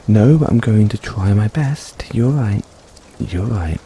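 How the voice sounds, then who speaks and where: A man playing a caring, gently teasing boyfriend in a soft-spoken, playful comfort roleplay for an overworked partner. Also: on the low side, a little hiss or hum. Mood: teasing, fond. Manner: soft-spoken, playful, coaxing, chuckles often.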